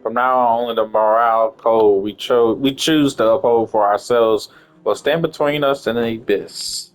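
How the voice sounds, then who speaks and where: A man's voice: medium pitch, fairly even intonation, moderate pace, clear, resonant tone. Casual streamer guy, blunt n sweary, mid voice, bit of music behind. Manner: blunt, profane. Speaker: game streamer. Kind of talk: casual gameplay reaction. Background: faint game music.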